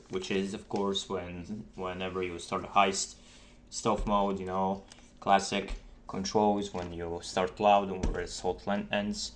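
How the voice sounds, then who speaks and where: A man narrating a casual software tutorial, explaining in a halting, hesitant way with many pauses. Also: fairly low pitch. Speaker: tutorial narrator for game mods. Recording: noisy desk mic, keyboard clicks. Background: keyboard typing and mouse clicks.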